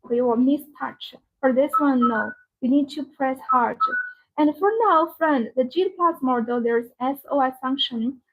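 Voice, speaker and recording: A woman hosting a live product demonstration, speaking in halting, repetitive, non-native phrasing. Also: high-pitched. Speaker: live-stream sales host demonstrating a product. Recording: dull, band-limited live-stream audio.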